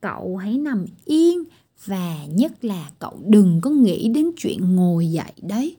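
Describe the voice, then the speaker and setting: A woman's voice: mid-range voice, very animated, unhurried, slightly rough. Woman doing a fussing little caretaker girl. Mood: caring. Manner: gently fussing.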